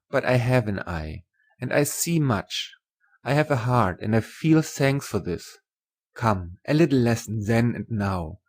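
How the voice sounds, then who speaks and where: A man playing a kindly middle-aged German professor in a dramatised audiobook, with a German accent and hearty, deliberate broken English. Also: on the low side, a little mellow. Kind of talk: dramatised literary audiobook dialogue.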